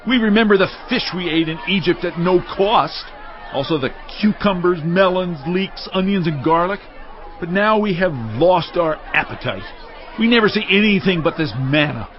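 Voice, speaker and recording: A man's voice: high-pitched, normal speed, lightly acted. Discontented Israelite rabble member, played by a male actor, plaintive grumbler, muffled noisy recording with crowd ambience. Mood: whining, discontented. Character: wailing, complaining Israelite man among a discontented rabble. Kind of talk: dramatized audio Bible acting. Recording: dull noisy sound with outdoor crowd ambience.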